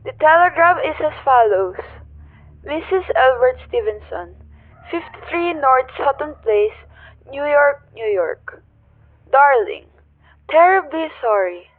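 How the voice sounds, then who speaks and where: A woman's voice: high voice, animated, slow, no echo. A woman playing a businesslike telegraph clerk in a radio play, formal and impersonal as she recites. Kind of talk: old radio drama acting. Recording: dry, tinny phone-like sound.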